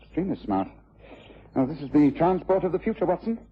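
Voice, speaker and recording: An actor playing an urbane, precise and courteous British gentleman detective in a period radio drama. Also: on the high side, sing-song, fast, breathy edge. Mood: keen. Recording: muffled old radio, noisy crowd background.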